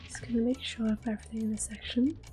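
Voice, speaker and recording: Woman whispering softly thru a video game, asmr style, gentle and slow, game music behind her. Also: fairly high pitch, steady tone. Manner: gentle, hushed, unhurried. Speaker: gameplay video host. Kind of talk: soft-spoken ASMR game commentary with whispering.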